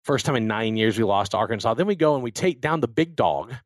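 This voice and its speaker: A man's voice: medium pitch, lively intonation, quick pace, dry recording, clean studio sound. An American man hosting a show with casual sports banter, talking emphatically and repeating his phrases for effect. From Louisiana and Texas, United States. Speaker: talk show host.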